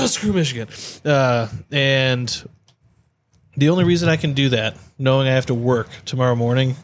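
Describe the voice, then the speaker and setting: A man's voice: medium pitch, moderate pace. A man co-hosting a podcast with playful, joking casual banter. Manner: jokey, playful. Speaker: podcast co-host.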